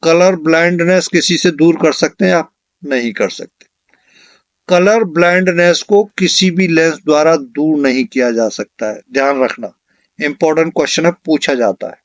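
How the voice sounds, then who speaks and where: Guy coaching for exams, voice a bit high, dry sound, repeats questions and answers to drill them. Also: normal speed, no echo. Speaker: exam coaching teacher. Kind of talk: question-and-answer exam lesson.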